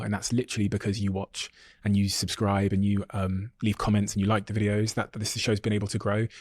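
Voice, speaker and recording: Quick low-voiced man hosting a podcast. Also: a little mellow, breathy edge, dry recording. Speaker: podcast host. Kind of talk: direct address to subscribers.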